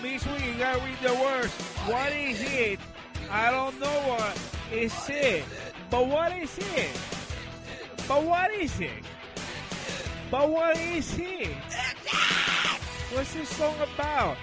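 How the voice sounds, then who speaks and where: A man's voice: very high-pitched, lively intonation, takes it slowly, long pauses. A man, rambling goofily and mock-singing in a comic radio bit. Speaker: radio show regular. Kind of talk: comic parody of a song over music. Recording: loud music behind the voice.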